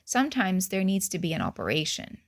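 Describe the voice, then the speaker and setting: A woman's voice: on the low side, huge pitch swings, a little fast, full character voice. Woman reading as a kind lady bear doctor, friendly storybook voice. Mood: kindly. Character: kind female bear doctor, calm and helpful.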